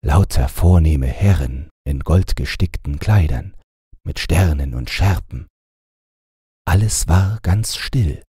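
A man reads a bedtime fairy tale as an audiobook narrator. His voice is low, very husky and dark. He tells it as a scripted story. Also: animated, slow, no echo, studio quality.

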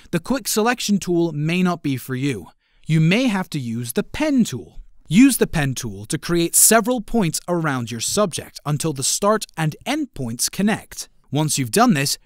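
Lively higher-pitched man narrating a tutorial. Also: no room sound, clean studio sound. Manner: instructional, step-by-step. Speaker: tutorial host.

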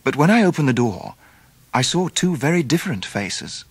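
An actor playing a grown English gentleman narrating his boyhood memories in a radio drama. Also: medium pitch, big pitch swings, normal speed, slightly rough, dry recording. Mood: reflective. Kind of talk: scripted first-person radio drama narration.

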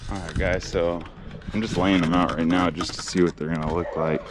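A man's voice: low voice, level tone, fairly quick. A young man vlogging outdoors about his yard work, talking easygoingly to his viewers and walking them through each step. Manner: easygoing, talks to viewers as "guys". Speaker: landscaping vlogger. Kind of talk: casual vlog narration. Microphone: action camera on body. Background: music.

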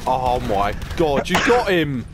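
A man's voice: mid-range voice, takes it slowly. Casual: guy gaming w mates, hyped n laughing a lot, noisy game sounds. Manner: excitable, laughing, cheering kills. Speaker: gamer streaming with friends. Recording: noisy game audio behind.

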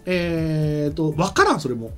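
A man's voice: a little high, expressive, measured pace, soft background music. A middle-aged man hosting a studio talk show, giving casual explanatory commentary at a desk microphone. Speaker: host, anime and game writer. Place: small streaming studio.

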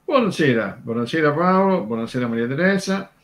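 A man's voice: a little high, lively intonation, brisk. A man explaining conversationally on a radio show, discursive and hesitant, repeating words as he goes. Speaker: radio show co-host and commentator.

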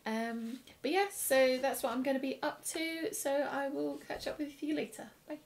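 A young woman with a croaky, tired voice, chatting casually to camera in her home studio. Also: high-pitched, steady tone, moderate pace. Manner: chatty, rambling, with soft laughs. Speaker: illustrator vlogger. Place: home studio room with plants.

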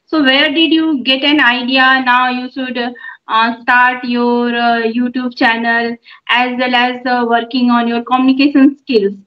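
A middle-aged woman who runs a YouTube channel, practicing English conversation on a video call from home, measured and encouraging with filler pauses. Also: on the high side, level tone. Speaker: YouTube channel host and mother. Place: room at home. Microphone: phone on a video call. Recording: dull lo-fi call audio.

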